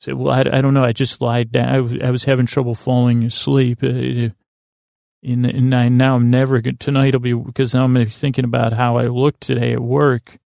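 A man hosting a podcast in a meandering, halting monologue, often repeating words and restarting sentences, with a self-deprecating manner. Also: mid-range voice, little pitch movement, gravelly, warm tone, no echo, clean studio sound. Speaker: podcast host. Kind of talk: rambling monologue introducing a sleep podcast.

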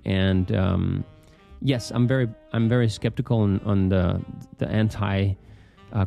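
Danish guy w a half american accent, rambly playful podcast host, bit of gravel in the voice, mellow tone. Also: on the low side. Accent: Danish with American leanings. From Denmark. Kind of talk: casual solo podcast introduction.

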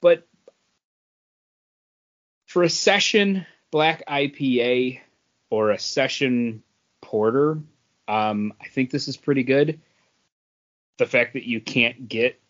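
A man co-hosting a casual podcast, reviewing in a halting, measured way. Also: medium pitch. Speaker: podcast co-host. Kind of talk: casual beer review chat. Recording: dull lo-fi audio.

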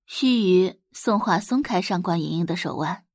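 A female audiobook narrator tells a story with widely moving intonation. The voice has a husky grain and is recorded close and dry, in a scripted, expressive storytelling style. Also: lowish voice, moderate pace, no room sound.

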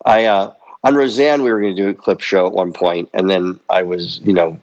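A man in his fifties, a guest comedy writer, chatting casually on a podcast with halting restarts and dry self-deprecating humor. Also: low-pitched, steady tone, darkish tone, muffled recording. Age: 50s.

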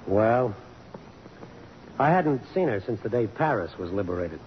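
An actor playing a wry, persistent photographer and jilted suitor, with an American accent, in mid-century radio drama style. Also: male voice, lowish voice, very animated, measured pace. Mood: wry, wistful. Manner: wry, sardonic. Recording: dull, noisy old radio broadcast recording.

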